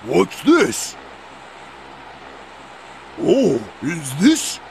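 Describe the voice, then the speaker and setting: An actor playing a burly male albatross, the loud, bumbling muscle of a gang. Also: a little high, huge pitch swings, extremely slow, gravelly, slight background noise, full character voice. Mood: blustering.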